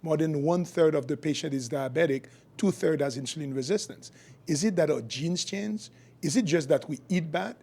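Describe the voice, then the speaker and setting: Husky, higher-voiced middle-aged man. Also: moderate pace, warm tone. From Haiti. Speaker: guest, functional medicine physician. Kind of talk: explanatory podcast interview.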